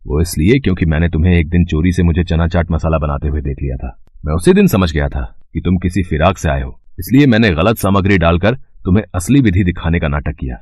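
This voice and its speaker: A man's voice: low voice, a little mellow. A man voicing a proud, assured village food vendor in a cartoon moral story. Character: proud, kindly, shrewd male village chaat vendor.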